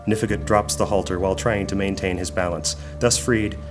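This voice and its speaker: A man narrating a scripted fantasy audio drama in a deliberate, descriptive storytelling manner. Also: low-pitched, steady tone, soft background music.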